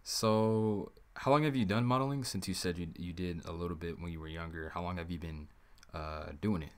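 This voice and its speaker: Low-voiced hesitant male interviewer. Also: brisk. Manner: hesitant, filler-heavy, repeats "pretty cool". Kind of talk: casual interview questions.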